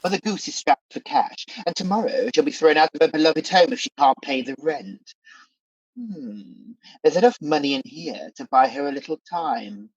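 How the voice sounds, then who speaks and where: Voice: medium pitch, lively intonation, moderate pace, slightly rough, no echo. An actor playing a scheming pantomime demon king in a radio play, sly, theatrical and coaxing, on a dull recording. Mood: gloating, sly.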